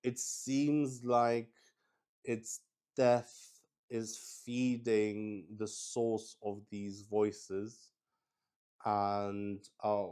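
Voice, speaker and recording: Male solo RPG host, smooth resonant voice on the lower side, improvising narration in a halting, think-aloud way. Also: darkish tone. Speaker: solo tabletop roleplay host. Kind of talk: improvised narration of a solo tabletop roleplaying game.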